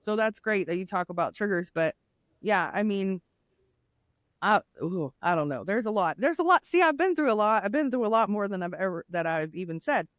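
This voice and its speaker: A middle-aged woman with a deep voice, a nurse and coach speaking candidly as an interview guest. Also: brisk, no room sound. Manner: candid, animated storyteller with laughs. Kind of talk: casual interview conversation.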